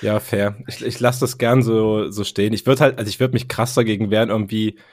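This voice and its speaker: A man's voice: fairly low pitch, steady tone, a little fast, dry recording. A man co-hosting a podcast, chatting casually about music in slangy, colloquial speech. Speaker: podcast co-host. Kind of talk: casual music review chat.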